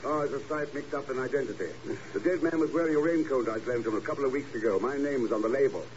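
A man's voice: mid-range voice, fast, natural voice. An actor playing a composed male secret agent in a 1950s radio drama, speaking in a dry, understated way. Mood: wry, composed. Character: calm, unflappable male secret agent reporting in. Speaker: espionage agent. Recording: muffled old radio recording with hiss.

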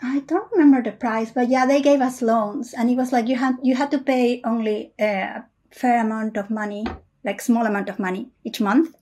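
A woman from Peru, a software engineer, answering questions in a casual interview over a video call. She speaks in a measured, thoughtful way, with frequent "uh" pauses. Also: on the high side, steady tone.

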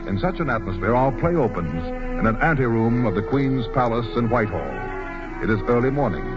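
A man narrating a radio play in a dramatic, scripted 1940s style, setting the historical scene. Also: medium pitch. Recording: dull 1940s radio broadcast recording. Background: music.

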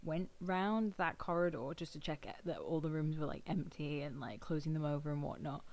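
A woman's voice: low voice, fairly quick, darkish tone. An English woman chatting casually, rambling and wryly exasperated as she recalls working with the public. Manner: rambling, restarts, wry and exasperated. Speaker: former heritage site worker. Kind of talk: casual chat.

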